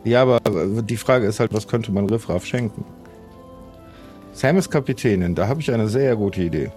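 Mellow-voiced man improvising an adventure. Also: lowish voice, lively intonation, normal speed. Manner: polite, hesitant. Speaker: player in an improvised pirate adventure audio play. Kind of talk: improvised in-character roleplay, conversational. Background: faint music.

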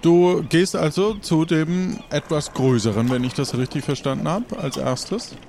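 A man narrating scenes as game master of an improvised role-play, measured and often pausing. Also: on the high side, vocal fry, darkish tone, slight background noise.

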